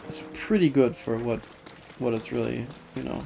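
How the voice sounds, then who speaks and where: A man live streaming a video game, chatting casually with viewers in a hesitant, halting way that often repeats words. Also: mid-range voice, vocal fry. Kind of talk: casual chat while gaming, reading and answering viewer comments. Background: video game music.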